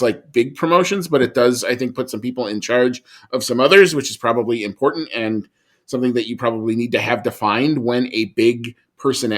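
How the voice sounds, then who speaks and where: A man's voice: mid-range voice, fairly bright tone. A man co-hosting a podcast in casual chat, talking in a conversational way with frequent restarts and asides. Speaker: podcast co-host.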